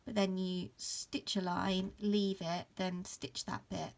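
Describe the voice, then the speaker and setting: A woman in her thirties with a croaky voice from hay fever, casually talking through an embroidery tutorial at home, full of ums and restarts. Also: lowish voice, slow. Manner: relaxed, many fillers and restarts. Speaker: embroidery teacher. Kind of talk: casual stitch-along tutorial. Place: upstairs room at home.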